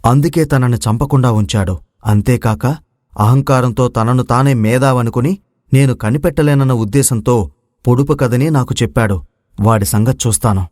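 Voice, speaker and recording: A brave, quick-witted young storybook prince, voiced by a man, speaks with formal confidence. The voice is mid-pitched and recorded very dry. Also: darkish tone, dry recording, close to an everyday voice. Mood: determined. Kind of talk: Telugu moral story voice acting.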